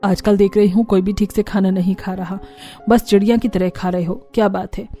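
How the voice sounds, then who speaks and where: Woman playing a strict, mellow-toned scolding mother-in-law. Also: mid-range voice, little pitch movement, fairly quick, soft background music, natural voice. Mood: suspicious. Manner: scolding, interrogating. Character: strict, suspicious middle-aged Indian mother-in-law. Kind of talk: Hindi cartoon family story.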